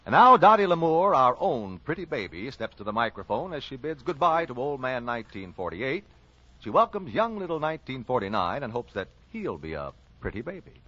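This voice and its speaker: A man's voice: medium pitch, very animated, a little fast, sharp tone, soft background music. A man with an American accent announcing and reading sponsor ads in 1940s radio style. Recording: dull lo-fi 1940s radio recording.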